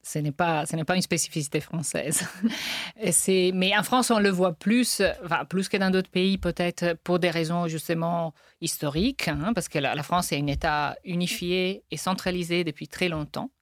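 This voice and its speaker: A woman's voice: on the low side, moderate pace, no room sound, studio quality. A woman linguist answering interview questions, hesitant, with frequent restarts and light laughs. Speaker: linguist guest.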